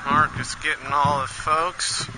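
A man's voice: fairly high pitch, little pitch movement, measured pace, over loud music. A man giving casual walking commentary as a parade volunteer, chatting with passersby and talking offhandedly to himself. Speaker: campaign volunteer filming a parade. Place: outdoors on city streets, walking a parade route. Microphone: body-worn or handheld action camera. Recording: very noisy outdoor action camera audio.

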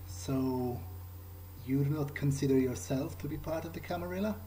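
Low-key guy roleplaying in a game, kinda hesitant, soft dark tone, bit of background noise. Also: moderate pace. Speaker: gamer playing in character. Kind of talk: improvised in-character roleplay of game dialogue.

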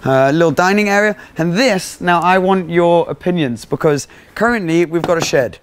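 A man's voice: on the high side, normal speed, smooth. A young man, giving a chatty, upbeat house tour as he walks around, speaking into a small clip-on mic. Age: 20s. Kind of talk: casual walk-through vlog. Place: small rented house and garden, indoors and outdoors. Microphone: small clip-on mic on his shirt.

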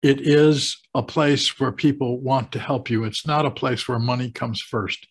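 A man in his sixties with an American accent talks to camera as a host, explaining things deliberately with pauses for emphasis. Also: medium pitch, steady tone, breathy edge. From United States. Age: 60s. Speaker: host of a retirement advice channel. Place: small home office, indoors. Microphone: webcam video call setup.

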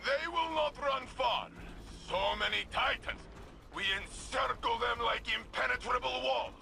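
An actor playing a boisterous, proud warrior in a video game, declaiming in a hearty, bombastic way. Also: male voice, very high-pitched, a little slow, gravelly, bright, forceful tone, a little hiss or hum, full character voice, poor audio. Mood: exultant. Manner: exultant declaiming. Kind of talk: video game drama acting.

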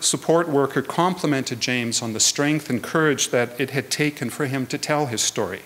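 Man, sixties, medium pitch, measured earnest delivery, prepared talk at a lectern. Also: a bit bright. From Canada. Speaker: guest speaker, hearing adjudicator. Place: indoors at a lectern in a hall.

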